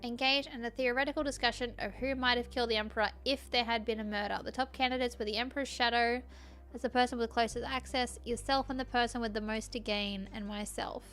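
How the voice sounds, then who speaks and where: A woman's voice: a little high, steady tone, fast talker, a bit bright, natural voice. A woman reading a visual novel's composed palace advisor aloud in a formal, matter-of-fact way, as if reporting to royalty. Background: background music.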